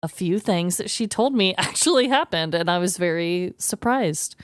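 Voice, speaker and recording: An expressive woman chats casually as a podcast co-host, with pitch that moves up and down in a lively way. Her voice is clean and smooth, recorded dry and close. She is playful, emphatic and loose with swearing. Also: moderate pace, no room sound. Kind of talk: casual bantering chat.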